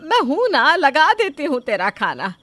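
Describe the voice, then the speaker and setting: A woman's voice: sits high, huge pitch swings, unhurried, a bit bright, no room sound, pitched above the performer's own voice, exaggerated voice. A woman voicing a middle-aged mother-in-law in a moral story, scheming, sugary and theatrically self-pitying. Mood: fussing, caring. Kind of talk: acted Hindi moral-story dialogue.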